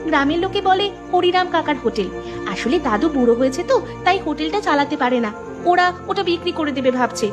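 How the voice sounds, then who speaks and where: Casting: female actor as caring cartoon schoolgirl, very high voice, earnest, pleading, music underneath. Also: expressive, fast. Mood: eager. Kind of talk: cartoon voice acting.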